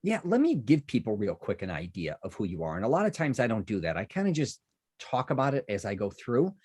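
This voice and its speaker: A man's voice: fairly low pitch, huge pitch swings, brisk, darkish tone, breathy edge. A man from Chicago hosting a podcast, chatting casually and rambling with many asides and restarts. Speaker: podcast host. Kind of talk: casual interview chat.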